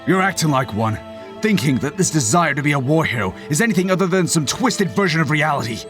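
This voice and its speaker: A man's voice: a little high, animated, fast, fairly bright tone, soft background music. Stern, bitter father acted by a man, wide-swinging voice. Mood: angry, harsh. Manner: argumentative, commanding. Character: stern, bitter father, anti-military colonial speaker. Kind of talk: audio drama acting.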